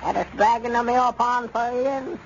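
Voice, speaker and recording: An actor playing an excitable, loyal old storekeeper with a rural Southern US accent in an old radio comedy. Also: male voice, extremely high pitch, moderate pace. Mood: worried, exclaiming. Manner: excitable, folksy, homespun grammar. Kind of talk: 1930s-40s radio comedy serial acting. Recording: dull, noisy vintage radio recording.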